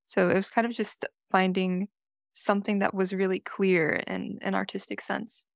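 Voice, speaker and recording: A young Californian woman speaks thoughtfully about her art studies. Her pitch hardly moves. The sound is dry and close. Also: flat delivery, normal speed, vocal fry, dry recording. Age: young adult. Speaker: design student guest. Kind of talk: casual podcast chat.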